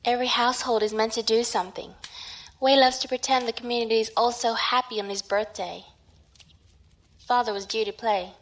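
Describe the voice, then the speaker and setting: Woman playing a high-voiced, earnest young daughter. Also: fairly even intonation, brisk, lightly acted. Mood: worried confiding. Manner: earnest, sighing. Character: earnest, worried young daughter guarding family secret. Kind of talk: audio drama acting.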